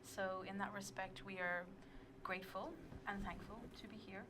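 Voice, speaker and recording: An Irish teacher in her thirties speaking in a measured, polite way, in a small TV studio. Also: mid-range voice, flat delivery, noisy background. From Ireland. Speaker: teacher leading an exchange trip.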